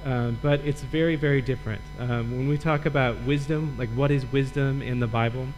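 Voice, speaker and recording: A man from Michigan, a guest preacher and Old Testament professor, teaching a sermon in a relaxed, lightly joking way. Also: medium pitch, brisk, soft background music, poor audio.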